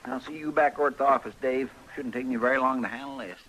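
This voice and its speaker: A man's voice: medium pitch, steady tone, fast talker, a bit bright, slight background noise, natural voice, low-quality recording. A man playing a folksy, grumbling Old West deputy with a twang in a radio western. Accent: American Western twang.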